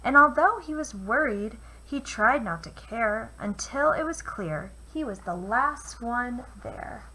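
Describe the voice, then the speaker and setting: A woman reading a children's picture book aloud with expressive, deliberate phrasing, as a teacher would for young listeners. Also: a little high. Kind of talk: children's storybook read-aloud. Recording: noisy home recording.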